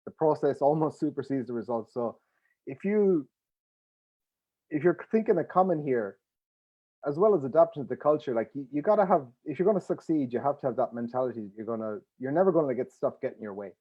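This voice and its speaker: A former sumo wrestler telling stories on a panel, emphatic and animated. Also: male voice, medium pitch, brisk, breathy edge, highs cut off. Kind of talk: panel discussion.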